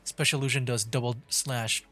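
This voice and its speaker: A man's voice: mid-range voice, fairly even intonation, fairly quick. A man doing casual gameplay commentary as a streamer, rambling and thinking aloud. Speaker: video game streamer. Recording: game music clearly behind the voice.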